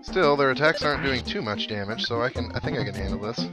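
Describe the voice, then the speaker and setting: A man's voice: mid-range voice, fast talker. A man hosting a video game playthrough, giving casual commentary with wry, dry-humoured asides. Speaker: host of a gaming playthrough series. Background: video game music.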